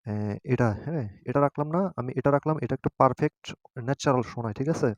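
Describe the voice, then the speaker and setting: A man hosting a casual step-by-step tutorial, often pausing to check that listeners follow. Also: medium pitch, steady tone, no room sound. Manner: repeatedly adds a checking tag question. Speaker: tutorial host. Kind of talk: casual screen-guided app tutorial.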